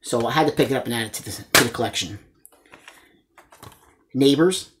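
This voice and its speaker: A man's voice: mid-range voice, a little fast, background noise. An enthusiastic man in his forties hosting a collecting vlog, chatting casually to his viewers and often addressing them as guys. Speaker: host of a movie collecting channel. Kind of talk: casual chat showing a haul to camera. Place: small indoor room lined with disc shelves.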